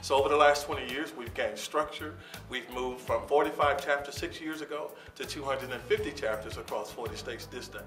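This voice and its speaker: A man, founder of an organization, giving steady, measured interview answers full of figures and dates. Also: medium pitch. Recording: roomy sound from a distance with music underneath.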